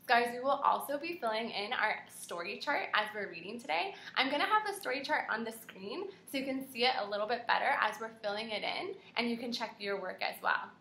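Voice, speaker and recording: A young woman with a very bright, fairly high voice leads a read-aloud lesson for small children. She is animated and encouraging, often prompting her listeners with questions. The room around her sounds echoey. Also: a little fast, gravelly, hardly any pauses. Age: young adult. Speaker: kindergarten teacher.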